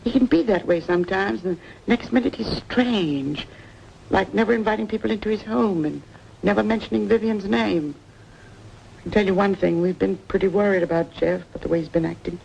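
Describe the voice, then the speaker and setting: An actress playing a kindly, gossipy older aunt in a mid-century drama, confiding and hesitant in a creaky voice. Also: female voice, mid-range voice, a little fast, dark tone, lightly acted. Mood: sombre. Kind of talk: mid-century American film drama acting. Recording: dull, noisy vintage soundtrack.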